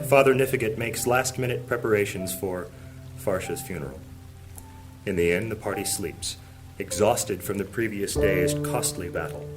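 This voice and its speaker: A man narrating a fantasy audio drama in a solemn, measured storytelling manner. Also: on the low side. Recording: background music under the voice.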